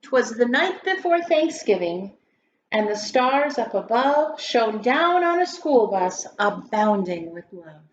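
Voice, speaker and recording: A woman's voice: on the high side, very animated, slow pace. A woman reading a children's story aloud like a grade school teacher, with theatrical, emphatic delivery. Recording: roomy echo, dull sound, faint noise.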